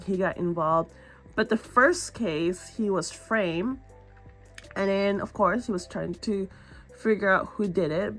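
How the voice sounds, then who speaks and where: A woman with a raspy, nasal voice from a stuffy nose, chatting casually about a manga she read, rambling with fillers and restarts. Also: medium pitch, fairly bright tone. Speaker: manga reading vlogger. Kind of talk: casual book review chat.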